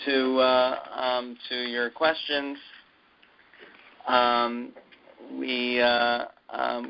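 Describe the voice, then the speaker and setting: A man giving an update on a conference call in a halting, hesitant way with many filler pauses, as a university president addressing his community. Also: medium pitch, vocal fry, slight background noise. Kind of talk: conference call update, semi-scripted announcement. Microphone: conference phone call. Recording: dull muffled conference call audio.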